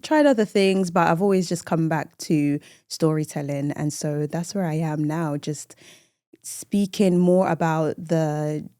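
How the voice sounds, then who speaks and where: A woman's voice: low-pitched, unhurried, dark tone, dry recording, studio quality. A woman in her early thirties chatting casually as a guest on a podcast. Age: early 30s. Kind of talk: casual chat.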